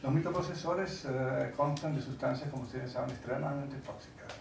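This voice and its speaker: Gravelly man lecturing in an echoey hall. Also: medium pitch, background noise. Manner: halting, searching for words with many fillers; wry, conversational. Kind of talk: lecture to an audience. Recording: echoey hall, mic at a distance.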